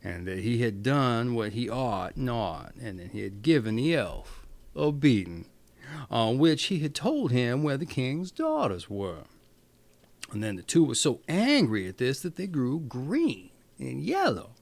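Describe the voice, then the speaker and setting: A man narrates a storybook fairy tale in a theatrical, emphatic way. His pitch is mid-range but swings widely from phrase to phrase. The sound is close and dry, with no room around the voice. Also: sing-song, unhurried, gravelly, no echo. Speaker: storyteller reading fairy tales.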